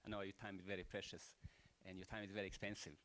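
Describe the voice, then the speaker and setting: A man's voice: low-pitched, little pitch movement, fast talker, a bit bright. An older man with a Croatian accent giving rambling, unscripted closing remarks at a panel event, full of rhetorical questions and "you know".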